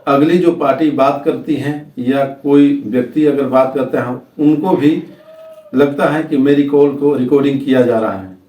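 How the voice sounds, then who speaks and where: A man with an Indian accent presenting a step-by-step tutorial as host, in halting, repetitive phrases. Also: mid-range voice, steady tone, warm tone. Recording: echoey room, distant microphone.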